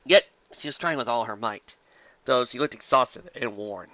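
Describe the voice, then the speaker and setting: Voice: mid-range voice, animated, moderate pace, bright, forceful tone, no room sound, lo-fi sound. A reader narrating a fan story aloud, with a plain delivery and occasional slips.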